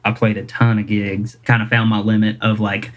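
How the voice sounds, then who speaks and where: Singer-songwriter guy, kinda low voice, rambly and self-deprecating, chuckles a lot. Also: little pitch movement, a little fast, a bit bright. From Kentucky, US. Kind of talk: casual interview chat.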